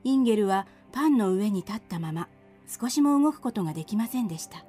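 A woman's voice: medium pitch, animated, fast, mellow, slightly rough, faint music behind. A woman narrator reading a scripted storybook tale for a radio drama.

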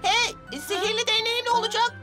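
A woman's voice: very high voice, flat delivery, fast, loud music behind the voice. A woman voicing a mischievous, proud but lonely childlike magical girl in a children's cartoon, exclaiming and pouting expressively. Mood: coy. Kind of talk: animated children's cartoon dubbing.

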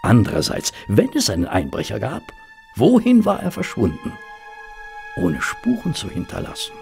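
A thoughtful detective's companion telling the story, radio drama, dramatic slow delivery, really swoopy pitch, bit of fry. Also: male voice, huge pitch swings, darkish tone, faint music behind, heavily acted. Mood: reflective, wry. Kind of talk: German radio play acting and scripted narration.

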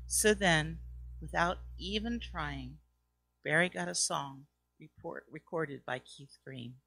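A woman's voice: sits low, slow, creaky, a bit bright, no echo, rough recording. A middle-aged woman reading a scripted account aloud into a close microphone. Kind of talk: scripted narration. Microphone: close microphone on a boom stand.

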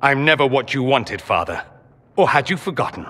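A man's voice: medium pitch, big pitch swings, normal speed, fairly bright tone. An actor playing a proud, wounded nobleman mage in a video game, articulate and sarcastic in a theatrical way. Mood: bitter anger. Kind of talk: dramatic video game acting. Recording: long reverb, music behind.

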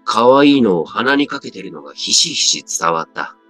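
A man's voice: mid-range voice, lively intonation, moderate pace, creaky, bright, forceful tone, no echo. A man reading a scripted first-person story as a dramatic narrator. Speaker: story reader. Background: background music.

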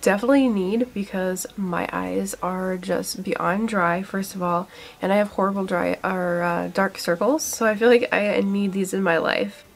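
A woman's voice: medium pitch, fairly even intonation, normal speed, vocal fry. A young woman in her twenties, stuffy with a cold, chatting eagerly through a casual skincare review in a small room, full of likes and fillers. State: congested from a cold. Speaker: beauty vlogger.

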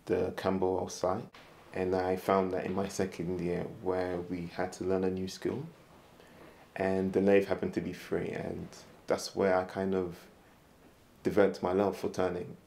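A man, talking calmly and reflectively about his woodturning work in an interview in his studio. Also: deep voice, normal speed, vocal fry. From London. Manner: calm, reflective, soft-spoken.

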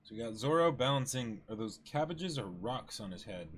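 A man's voice: mid-range voice, animated, normal speed, breathy edge. A man in his twenties co-hosting a comic reading with casual brief comments, recorded in a small room. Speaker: co-host of a manga dramatic reading.

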